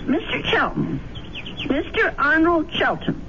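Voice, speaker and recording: An earnest woman amateur sleuth in a vintage radio mystery. Her high voice swings widely as she presses her suspicions with hesitant emphasis. The recording is muffled and heavily noisy. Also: huge pitch swings, measured pace, creaky, full character voice. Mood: hesitant, suspicious. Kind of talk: old-time radio mystery drama acting.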